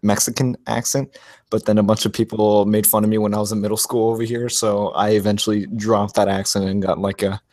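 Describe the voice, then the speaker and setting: A man's voice: lowish voice, little pitch movement, a little fast, a little mellow, no room sound. A young man from Texas with a slight Texas accent, hosting a casual live stream chat, easygoing and laughing often. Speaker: motovlog live stream host.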